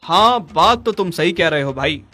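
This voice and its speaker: A man's voice: fairly high pitch, animated, normal speed, sharp tone. A man playing a patient young cow owner in a cartoon story, earnest and mild in manner. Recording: music behind the voice.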